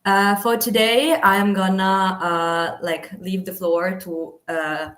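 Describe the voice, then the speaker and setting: A woman's voice: mid-range voice, steady tone, slow, a bit bright, reverberant. A young woman, a student association president, introducing an event with many hesitant fillers. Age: young adult.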